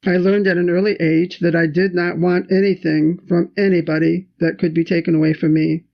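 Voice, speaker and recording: Gravelly older woman, even and lo-fi. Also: fairly low pitch, fairly quick. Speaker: elder telling her life story. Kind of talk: slow recited spoken-word storytelling. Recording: dull lo-fi video recording.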